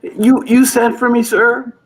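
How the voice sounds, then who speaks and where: A man playing a fussy, eager apprentice angel in a radio play, flustered and stammering, with a husky voice. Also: very high-pitched, flat delivery, slow pace, a little hiss or hum, poor audio. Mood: timid, eager. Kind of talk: live radio play acting.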